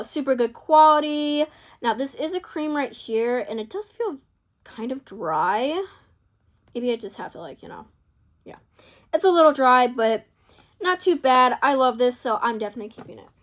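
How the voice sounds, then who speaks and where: Young woman vlogger, slightly high, chatty and rambling, voice worn from long talking. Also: expressive, moderate pace, fairly bright tone. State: losing her voice. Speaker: beauty vlogger. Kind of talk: casual chat to camera.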